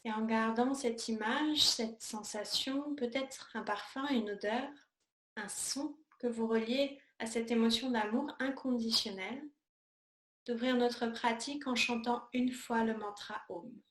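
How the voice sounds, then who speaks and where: A woman teaching yoga, guiding a meditation in a calm, soothing, unhurried way with pauses between short phrases. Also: a little high. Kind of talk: guided yoga and meditation instruction. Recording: echoey room sound.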